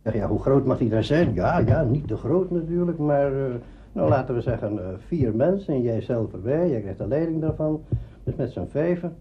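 An older man with a Netherlands Dutch accent recalls his radio work in a halting, conversational way. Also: mid-range voice, expressive. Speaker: radio drama pioneer recalling his career. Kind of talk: spoken reminiscence. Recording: dull, noisy archive interview recording.

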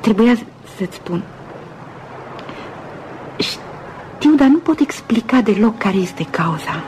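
An actress playing a dreamy, romantic upper-class woman in a Romanian radio drama, speaking softly and musingly with pauses. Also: fairly high pitch, slight background noise, close to an everyday voice, poor audio. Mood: wistful, wondering. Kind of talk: Romanian radio theatre acting.

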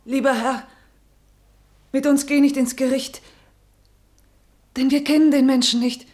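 A woman's voice: high voice, level tone, unhurried, darkish tone. Cautious, fearful woman, theatrical verse delivery. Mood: urgent, fearful. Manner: declamatory rhymed delivery. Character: cautious, fearful elder sister recounting wartime terror in verse. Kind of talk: theatrical verse radio drama, narrating and in dialogue.

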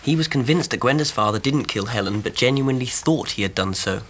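An actor playing a concerned, methodical young husband in a radio drama, well-spoken and reasoning deliberately. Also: male voice, medium pitch, lively intonation, fairly bright tone, a little hiss or hum, close to an everyday voice. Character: logical, concerned young English husband, methodical amateur investigator.

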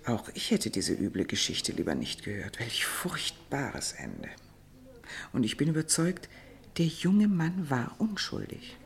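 An actress playing a cautious, gossipy society lady in a radio play, with a refined, confiding and expressive manner. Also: extremely low pitch, a little slow, vocal fry, full character voice. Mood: sombre, regretful. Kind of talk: German radio play dialogue.

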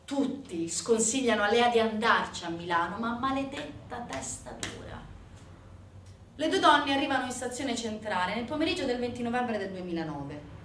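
A woman performing a scripted dramatic monologue as a stage narrator, with measured, weighted phrases. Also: a little high, big pitch swings, a bit bright, a little hiss or hum. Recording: roomy, distant sound with faint music.

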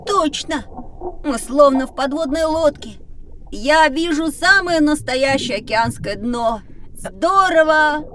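A woman voicing a curious, cheeky, excitable young schoolboy in a children's educational audio drama. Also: sits high, slow pace, slight background noise, heavily acted. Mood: amazed, excited. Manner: exclamatory, cheeky.

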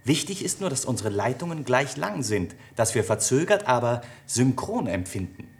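A man's voice: medium pitch, big pitch swings, moderate pace. An actor playing an earnest, precise male scientist explaining a theory in a science fiction radio play. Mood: emphatic, explanatory. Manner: precise, lecturing, emphatic. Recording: radio play with clear background noise.

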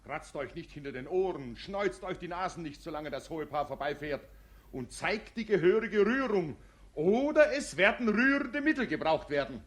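An actor playing a blustering, tipsy village schoolmaster drilling peasants in a 1950s radio play. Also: male voice, sits high, expressive, normal speed, fairly bright tone. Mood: hectoring.

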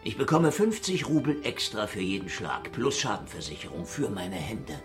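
A man's voice: lowish voice, big pitch swings, moderate pace, faint music behind. An actor playing a cynical, down-at-heel private detective in a noir radio drama, sardonic and laconic as he talks and narrates. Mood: wry, defiant. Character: cynical down-at-heel Moscow private detective, hard-boiled, wry. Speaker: lead actor and first-person narrator.

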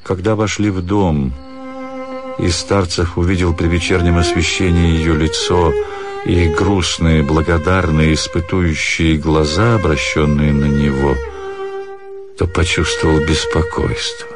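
A man reading scripted literary narration in a measured way, with deliberate pauses. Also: deep voice, level tone. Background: music.

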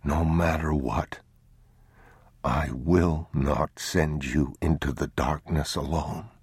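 A man doing a hushed, weary father. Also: rumbling low, vocal fry, husky, dry recording, heavily acted. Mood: whispered vow. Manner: hushed, slow and soothing, near a whisper. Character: weary, frightened father soothing his son.

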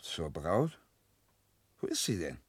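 An actor playing a boastful, restless young peasant in a verse radio play, swaggering and swinging between outbursts and hushed wheedling. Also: male voice, low voice, huge pitch swings, takes it slowly, slightly rough, full character voice. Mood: hushed, intent. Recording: radio drama with string music underneath.

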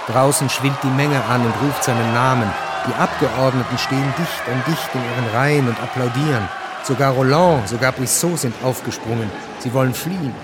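A man's voice: medium pitch, moderate pace, close to an everyday voice. A man narrating a scripted radio play in an urgent, reporting manner. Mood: intent. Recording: loud crowd and noise behind.

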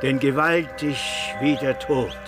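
An actor playing a solemn reciter of biblical love verse in a radio drama. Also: male voice, medium pitch, takes it slowly, loud music behind the voice, close to an everyday voice.

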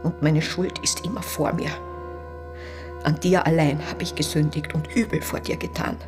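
An actress playing a devout, guilt-ridden woman reciting a breathy, pleading prayer in a radio drama. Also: deep voice, steady tone, moderate pace, natural voice. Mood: penitent. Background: music.

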